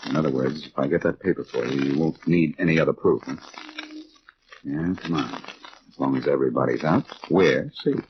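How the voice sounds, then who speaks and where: An actor playing a confident, wry American amateur detective in a 1940s radio drama, talking in a casual, wisecracking way. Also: male voice, very deep voice, a little fast. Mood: coolly confident. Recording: muffled, noisy old radio recording.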